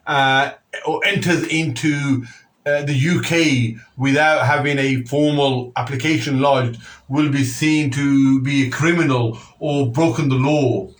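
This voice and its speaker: Man, radio host, middle-aged, halting delivery, lots of fillers. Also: mid-range voice. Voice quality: halting, many uh fillers and restarts. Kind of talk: community radio phone-in discussion.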